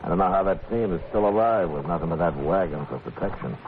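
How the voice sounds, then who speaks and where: An actor playing a stoic, watchful frontier U.S. Marshal with an American accent, terse and steady, in a radio western. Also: male voice, low voice, fast talker, a little hiss or hum. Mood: calm, firm. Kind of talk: 1950s radio western acting and narration. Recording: narrow, muffled 1950s radio recording.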